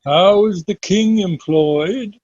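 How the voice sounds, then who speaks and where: A man reading Shakespeare verse drama aloud as an amateur, in a measured, deliberate way over a dull online call. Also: high-pitched, a little mellow, slightly rough, no room sound.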